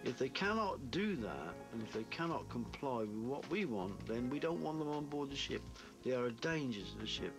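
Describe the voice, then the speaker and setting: Brief: firm older man, mid pitch, laying down the law. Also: lively intonation, normal speed, hardly any pauses. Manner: stern, firm, emphatic. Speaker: safety officer. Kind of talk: documentary interview. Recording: music bed underneath.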